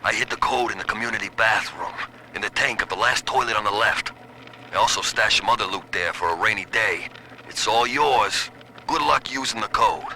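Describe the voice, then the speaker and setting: A man's voice: lowish voice, normal speed, pushed and bright, slight background noise, poor audio. A man playing an obliging, indebted prison inmate in a video game audio log. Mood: obliging, wry.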